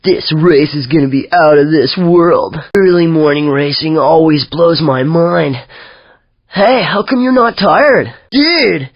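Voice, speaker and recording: A spacey sixties hippie drawls through video game quips in a mellow, dazed way. He sounds like a peace-loving slacker. The audio is dry, close and a bit dull. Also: a little high, slow pace, no room sound.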